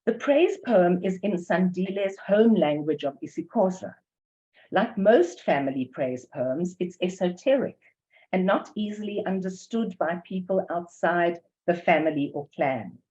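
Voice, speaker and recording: Older woman, South African English accent, measured academic lecture, low-pitched voice, dull lo-fi sound. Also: expressive, dark tone. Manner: measured, careful reading of prepared text. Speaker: academic presenter. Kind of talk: lecture presentation with poetry reading. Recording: dull lo-fi recording.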